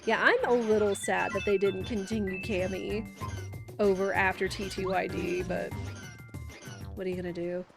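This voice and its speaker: A woman's voice: mid-range voice, fairly even intonation, moderate pace, vocal fry. A young woman who sounds congested and nasal from a cold, chatting casually while streaming a game with rambling, filler-heavy talk. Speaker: gaming streamer. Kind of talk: casual live-stream commentary. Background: game music.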